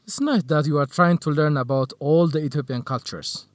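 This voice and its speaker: A man's voice: fairly high pitch, moderate pace, no room sound, lightly acted. An actor playing an earnest, grateful young Ethiopian male research assistant in an educational radio drama. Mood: helpful, calm.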